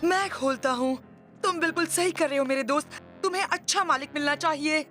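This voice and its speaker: High-pitched, smug cartoon boy. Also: a little fast. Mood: triumphant. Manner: taunting, smug. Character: gloating, mischievous young boy who taunts his rival. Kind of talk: animated cartoon dub acting. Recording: loud background music.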